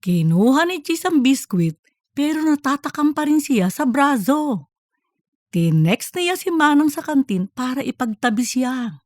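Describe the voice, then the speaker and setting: A woman's voice: high voice, very animated, measured pace, a little mellow, no room sound, studio quality. A woman narrating a scripted comic audiobook story.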